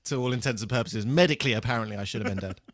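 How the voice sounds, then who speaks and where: A man co-hosting a podcast in casual chat, telling personal anecdotes in a sweary, halting, self-deprecating way. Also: medium pitch. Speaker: podcast co-host.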